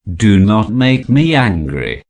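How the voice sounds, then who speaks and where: A man's voice: on the low side, sing-song, takes it slowly, darkish tone, full character voice. A man playing a stern, imperious overlord, commanding his subjects theatrically in a scripted drama. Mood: stern, threatening.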